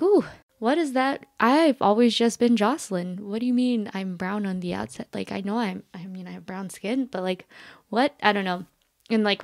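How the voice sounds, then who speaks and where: Young woman guest, animated and chatty, laughs often, dry room sound. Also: mid-range voice, dry recording. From United States. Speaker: podcast guest. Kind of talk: casual conversation.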